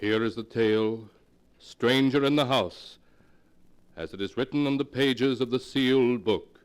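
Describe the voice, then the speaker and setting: An actor playing the ominous host of a 1940s radio horror series, speaking in a theatrical, melodramatic way. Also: male voice, mid-range voice, a little slow, clear, resonant tone, close to an everyday voice. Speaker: announcer and narrator. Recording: 1940s radio recording, long reverberant hall.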